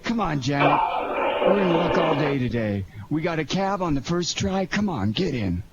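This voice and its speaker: A man's voice: on the high side, very animated, moderate pace, dull audio. Man playing a cocky streetwise crook, noisy recording. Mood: brisk, bossy. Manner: brusque, slangy, self-assured. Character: cocky, streetwise male fur thief. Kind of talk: 1940s radio crime drama acting. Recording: noisy amateur radio drama recording.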